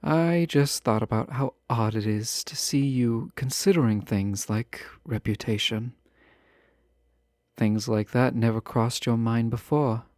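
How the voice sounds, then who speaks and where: A man playing a refined, guarded yet fond fantasy ruler in a romantic audio roleplay, speaking in formal, measured phrases with teasing asides. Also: mid-range voice, a little mellow, dry recording, studio quality, close to an everyday voice. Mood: surprised, reserved.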